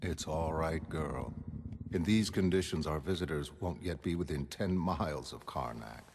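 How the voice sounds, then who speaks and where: A man performing a brilliant, self-assured mastermind in a motion comic, speaking in formal, measured, erudite monologue. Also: rumbling low, darkish tone, a little hiss or hum. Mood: detached, musing. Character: brilliant, aloof, self-assured male mastermind, soliloquizing to his pet.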